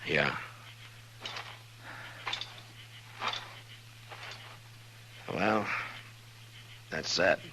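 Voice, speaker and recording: Very deep-voiced, stern frontier marshal. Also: male voice, very slow, a little hiss or hum, heavily acted, poor audio. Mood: grim, frustrated. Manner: blunt, challenging. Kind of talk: 1950s radio western acting. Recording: vintage radio drama with loud music.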